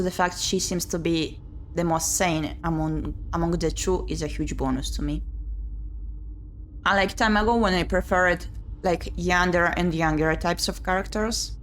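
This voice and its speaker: A woman's voice: on the low side, fairly bright tone. A woman streamer reading a visual novel aloud and chatting casually about it. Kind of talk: casual playthrough commentary and narration. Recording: clear background noise.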